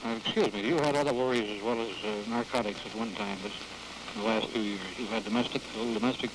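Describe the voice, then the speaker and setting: A man's voice: on the low side, quick pace. A man interviews for the news, bluntly and informally, often rephrasing his questions. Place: outdoors on a building porch. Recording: noisy 1950s newsreel recording.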